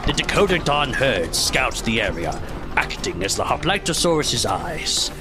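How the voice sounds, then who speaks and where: A man's voice: on the high side, sing-song, normal speed, over music. A man narrating an overly dramatic audiodrama in a solemn, theatrical, mock-epic style. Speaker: podcast host narrating an audiodrama.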